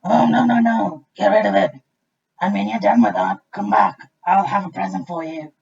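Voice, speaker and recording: A woman voicing a big, snappy male crocodile in a children's storybook, with loud, drawn-out, emphatic delivery. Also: mid-range voice, expressive, creaky, husky, dull audio. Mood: grateful, emphatic.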